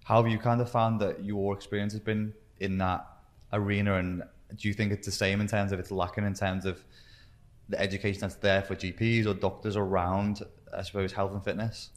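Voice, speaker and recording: Low-voiced man co-hosting a podcast. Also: level tone. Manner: halting questions with restarts. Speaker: podcast co-host. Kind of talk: podcast interview.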